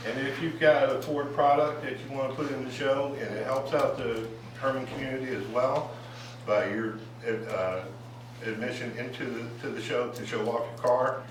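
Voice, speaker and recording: A man's voice: mid-range voice, steady tone, normal speed, noisy background. A man from a car club presenting a donation at a council meeting, speaking hesitantly with many fillers. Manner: hesitant, full of fillers and restarts. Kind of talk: public remarks at a meeting. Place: council chamber. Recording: very echoey room, distant mic.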